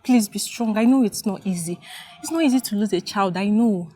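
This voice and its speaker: A woman's voice: mid-range voice, fairly quick, dark tone, faint music behind, natural voice. An actress playing a consoling woman friend who talks in a soothing way, repeating her apologies over and over. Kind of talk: melodrama scene.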